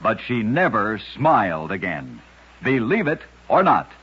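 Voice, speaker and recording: A man narrating strange true stories in a scripted old-time radio style, with a dramatic, measured delivery. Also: lowish voice, big pitch swings, noisy background. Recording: dull, lo-fi old radio recording.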